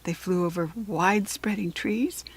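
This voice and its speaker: A woman's voice: medium pitch, moderate pace, husky. A woman with an American accent reading a children's storybook aloud as a narrator.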